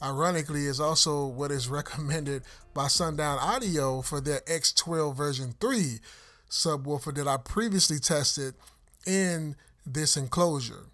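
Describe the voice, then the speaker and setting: Higher-pitched man explaining a product test. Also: unhurried, a bit bright, no echo. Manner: explanatory, addresses viewers as "you guys". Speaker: car audio reviewer and host. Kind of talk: hands-on product test commentary. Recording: clear background noise, vehicle ambience.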